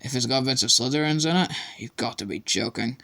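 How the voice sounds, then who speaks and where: A man's voice: medium pitch, a little fast, vocal fry, bright, forceful tone. A man voicing a cheeky, joking teenage boy.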